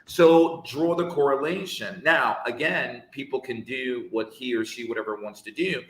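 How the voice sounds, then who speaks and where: A man speaking in a casual live conversation, measured and emphatic, making points with rhetorical questions. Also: mid-range voice, echoey room, rough recording.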